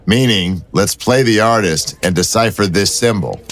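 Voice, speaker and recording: Man playing a low-voiced, wry detective. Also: normal speed, fairly bright tone. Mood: teasing. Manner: wry, bantering. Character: wry male police detective, cool and teasing. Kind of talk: audio drama acting.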